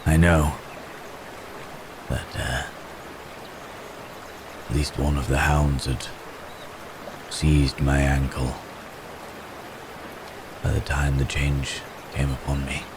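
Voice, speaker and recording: A man voicing a gentle, remorseful wounded werewolf in an intimate ASMR roleplay, speaking haltingly and formally, as if in pain. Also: a bass voice, flat delivery, background noise, heavily acted. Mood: haunted, remorseful. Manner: halting, hesitant, soft-mannered storytelling with formal wording. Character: wounded male werewolf, gentle, remorseful, recounting his escape.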